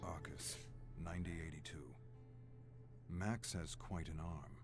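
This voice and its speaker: An actor playing a grave, weary scholarly archivist with a morbid fascination, narrating dark game records in a deliberate, brooding way. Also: male voice, a bass voice. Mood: morbidly fascinated. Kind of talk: dramatic scripted game narration. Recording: clear music behind the voice.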